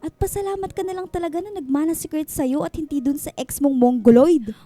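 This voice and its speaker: A woman's voice: sits high, brisk, mellow, soft background music, natural voice. A woman with a Filipino accent playing a blunt, teasing, supportive best friend in a radio drama, playful and outspoken.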